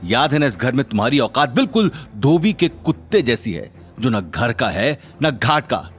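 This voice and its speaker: A man's voice: medium pitch, very animated, normal speed, fairly bright tone, slightly rough, soft background music. A man voicing a sneering, arrogant young family rival in an audio drama. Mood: contemptuous.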